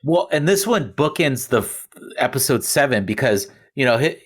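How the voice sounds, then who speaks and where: A man chatting casually and giving his opinions as a podcast panelist, with somewhat halting phrasing. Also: medium pitch, lively intonation.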